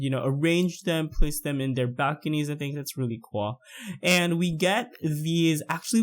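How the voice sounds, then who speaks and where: Chatty young Filipino man, slightly high. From Philippines. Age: 20s. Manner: chatty, rambling, many fillers. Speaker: YouTuber narrating a build. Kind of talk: casual voice-over commentary.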